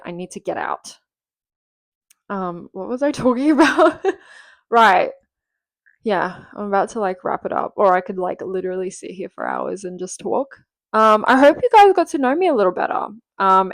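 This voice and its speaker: A woman in her twenties chats her way through a home-recorded podcast, rambling and full of fillers. Her voice has a gravelly, fry-tinged texture and sounds a little nasal from a cold. The sound is close and dry, with no room echo. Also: mid-range voice. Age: 20s. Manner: chatty and rambling, with frequent fillers and laughs. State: sick and a bit nasal. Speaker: podcast host. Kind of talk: casual solo chat.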